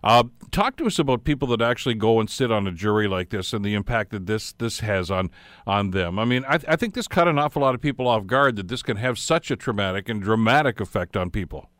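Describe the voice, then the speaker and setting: A man hosting talk radio with a slightly low voice. He works through his questions with many fillers and restarts. The sound is dry and close. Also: lively intonation, a bit bright, no room sound. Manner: halting, frequent restarts and fillers. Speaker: radio talk show host.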